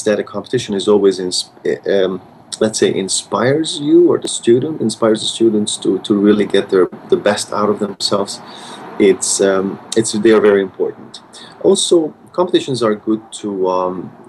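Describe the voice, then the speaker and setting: A low-voiced man of thirty with a Hungarian accent and a gravelly edge, answering questions thoughtfully. He pauses, repeats words and circles back as he finds his sentences. Faint noise sits under the recording. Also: darkish tone, poor audio. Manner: thoughtful, many restarts and fillers. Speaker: pianist guest. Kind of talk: interview answers.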